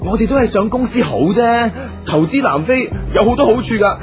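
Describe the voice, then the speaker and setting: An actor playing an earnest second brother who speaks deferentially and pleadingly in a radio drama. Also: male voice, high-pitched, lively intonation, fairly quick. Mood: insistent. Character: earnest, placating second brother in family business. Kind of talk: Cantonese radio drama acting. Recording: dull radio drama recording, music behind.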